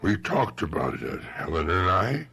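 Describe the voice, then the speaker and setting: A devoted old husband in a radio play. His voice is low, rough and gravelly, breaking into halting, anguished pleas. It is raspy and unclear at the edges. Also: sing-song, sharp tone, full character voice. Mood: defensive, distressed. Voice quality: gravelly, husky. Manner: emotional, halting, pleading. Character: anguished aging husband, nearly seventy, devoted and pleading. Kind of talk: radio drama acting.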